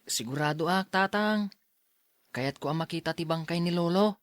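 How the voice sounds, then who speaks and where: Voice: mid-range voice, normal speed, no room sound, close to an everyday voice. Actor playing a timid, earnest youth in close, dry sound. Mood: earnest, determined. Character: timid earnest youth Aljun, son and younger brother. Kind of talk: radio drama acting.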